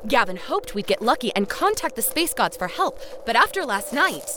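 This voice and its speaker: Actress as young female eco-warrior: earnest, sharp-tongued, highish lively voice, music behind. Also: moderate pace, noisy background. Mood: fervent. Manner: earnest, dryly scolding. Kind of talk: comedic audio drama acting.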